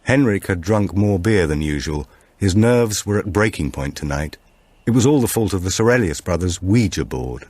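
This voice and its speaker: A man narrates a suspense audiobook in a low, husky voice. His reading is measured and dramatic, with plenty of movement in the intonation. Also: animated, darkish tone, dry recording. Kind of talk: dramatic scripted narration of a thriller.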